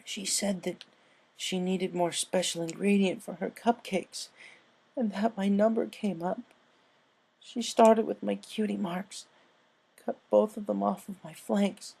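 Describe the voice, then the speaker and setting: A traumatized young pegasus mare from a pony storybook, acted out by a man. The voice is lifted high and soft-toned, halting and tearful, as if barely holding together. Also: fairly even intonation, gravelly, husky, full character voice. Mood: shaken, haunted. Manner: halting, sobbing, stammering delivery.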